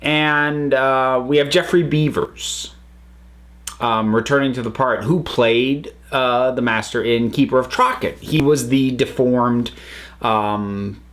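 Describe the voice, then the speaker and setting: A man's voice: mid-range voice, slow pace, fairly bright tone. A man hosting a casual spoken review, rambling along with many fillers and restarts. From United States. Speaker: host reviewing audio dramas.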